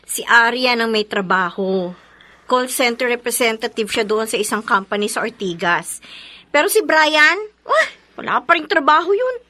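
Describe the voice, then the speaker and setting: A chatty young Filipina friend in a radio drama. Her voice is high and lively, and she speaks with emphatic, gossipy concern. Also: normal speed. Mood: gossiping.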